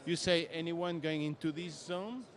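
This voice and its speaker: High-voiced man demoing software. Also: fairly even intonation, measured pace. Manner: non-native phrasing, emphatic. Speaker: software demonstrator. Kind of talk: trade show product demo. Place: exhibition stand at trade show.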